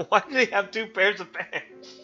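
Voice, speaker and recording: Bright-voiced man reading mock-dramatically. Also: high voice, measured pace. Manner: mock-dramatic, breaks into frequent laughter. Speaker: streamer. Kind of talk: dramatic comic reading of fanfiction. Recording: dull lo-fi stream audio with faint music.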